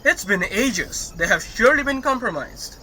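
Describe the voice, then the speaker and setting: An actor playing a vain, pompous space captain with florid, formal speech in a sci-fi comedy audio drama. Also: male voice, extremely high pitch, expressive, moderate pace, sharp tone, a little hiss or hum, heavily acted, low-quality recording. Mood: worried. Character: pompous, vain male space captain, self-styled charmer.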